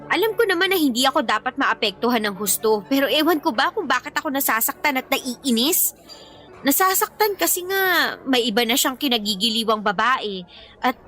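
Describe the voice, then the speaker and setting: Jealous snappy filipino girlfriend in a radio drama, high voice, faint music. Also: pushed and bright. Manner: testy, snappish. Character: jealous, irritable live-in girlfriend nursing a secret longing.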